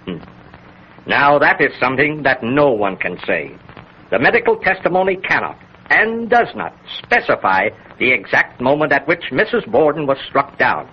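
A man's voice: mid-range voice, very animated, normal speed, husky. A man acting a stern professional man in a murder drama, declamatory and emphatic, in 1930s radio style. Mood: forceful, measured. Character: stern 1890s doctor and district attorney in a murder drama. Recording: muffled, noisy 1930s radio recording.

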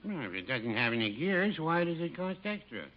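An actor playing a mild, fussy older pharmacist with a dry, hesitant manner in a 1950s radio comedy. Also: male voice, on the high side, very animated, fairly quick. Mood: mild, droll. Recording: muffled old radio recording.

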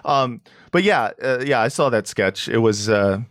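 A man's voice: medium pitch, animated, normal speed, fairly bright tone, dry recording, clean studio sound. A man as a podcast guest, sarcastic and rambling, with lots of fillers. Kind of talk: casual podcast chat.

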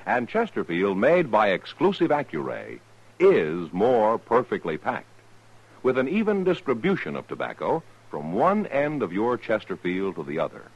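Husky, highly animated vintage radio adman. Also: moderate pace. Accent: American. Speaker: radio commercial announcer. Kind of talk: 1950s radio advertising read. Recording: dull vintage radio recording.

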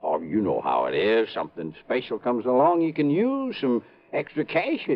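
Folksy, animated small-town police chief. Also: male voice, medium pitch, normal speed. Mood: chummy, evasive. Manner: folksy, rambling, ungrammatical speech. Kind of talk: 1950s radio drama acting. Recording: dull vintage radio recording.

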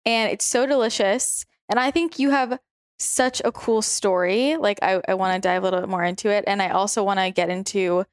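A woman's voice: medium pitch, steady tone, fairly quick, clear, resonant tone, no room sound, studio quality. A woman hosting a podcast in casual chat with a friend at home, bubbly, laughing often and swearing lightly. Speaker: podcast host.

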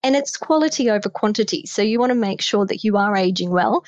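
A woman's voice: mid-range voice, lively intonation, a little fast, darkish tone, dry recording, highs cut off. A woman with an Australian accent, a guest on a podcast, giving emphatic, direct advice on health in midlife. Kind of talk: podcast conversation.

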